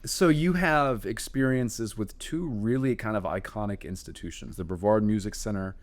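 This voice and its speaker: A man's voice: fairly low pitch, normal speed. A man from North Carolina hosting a book podcast, interviewing a guest in her home with friendly affirmations. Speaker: podcast host.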